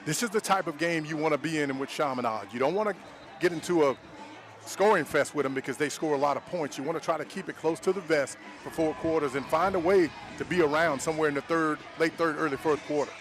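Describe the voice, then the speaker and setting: A man's voice: fairly high pitch, fast talker, a bit bright. An American man working as color analyst on a live football broadcast, explaining tactics like a coach.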